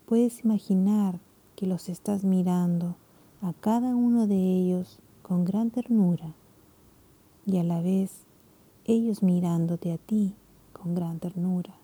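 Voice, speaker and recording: A woman leading a guided meditation, speaking softly and soothingly, her phrases often trailing into whispers. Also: on the low side, steady tone, slow pace, breathy edge.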